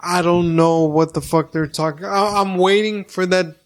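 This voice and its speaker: A man's voice: sits high, fairly even intonation, moderate pace. A man in his thirties, co-hosting a podcast, chatting casually in a rambling, crude way with lots of restarts. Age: 30s. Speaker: podcast co-host. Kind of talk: casual chat.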